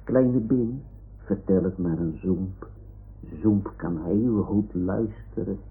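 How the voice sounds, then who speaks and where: A man's voice: low voice, takes it slowly, gravelly, heavily acted. A man playing a fussy, pompous, grumbling toy lion who is a boy's confidant in a radio play. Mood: confiding. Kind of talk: 1960s Dutch radio play acting. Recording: old narrow-band radio recording.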